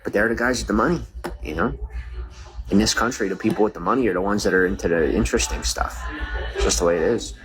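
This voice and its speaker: Low-voiced man rambling on a livestream. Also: fast talker, music in the background, low-quality recording. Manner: rambling, filler-heavy, digressive. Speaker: streamer. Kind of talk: casual livestream chat.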